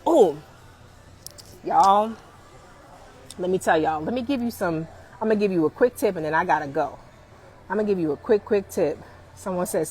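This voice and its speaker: A woman in her forties giving career advice on a live stream, emphatic and animated, often calling out to viewers and laughing. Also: fairly low pitch. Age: 40s.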